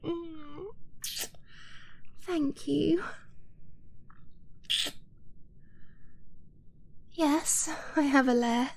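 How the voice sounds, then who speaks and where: A woman voicing an affectionate young dragon-blooded girlfriend in an ASMR romance roleplay, soft and breathy, often near a whisper, tender and confiding. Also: high voice, heavily acted. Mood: tender, shy. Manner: tender, unhurried, giggly, confiding.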